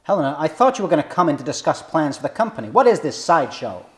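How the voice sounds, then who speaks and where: A man's voice: a little high, big pitch swings, fast. Exasperated, indignant company boss played by a man. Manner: indignant, authoritative. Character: exasperated male company boss objecting to a disruption. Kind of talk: drama script table read.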